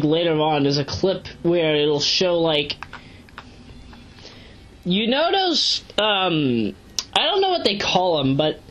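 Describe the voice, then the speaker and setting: A woman's voice: lowish voice, fairly bright tone. A woman giving casual, unscripted review commentary as an amateur reviewer, rambling through digressions and emphatic asides. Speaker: amateur web series reviewer. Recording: dull lo-fi home recording.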